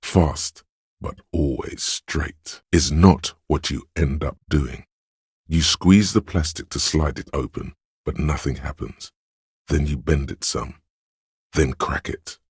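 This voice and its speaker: Casting: a detective's sardonic inner voice for a role-playing game. Rock-bottom register, close dry sound, erudite, gravelly delivery. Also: male voice, rumbling low, slow pace, darkish tone, no room sound, heavily acted. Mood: measured, wry. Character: wry, erudite inner voice narrating a detective's thoughts. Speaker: game narrator and inner-voice actor. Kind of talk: scripted second-person narration for a role-playing video game.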